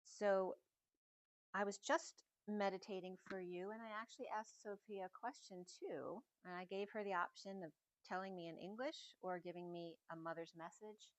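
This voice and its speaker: A middle-aged woman giving a casual, meandering spiritual reading at home, in a soft and musing way. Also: mid-range voice, steady tone, normal speed, dry recording, low-quality recording. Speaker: spiritual reader. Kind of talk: casual channeled message reading.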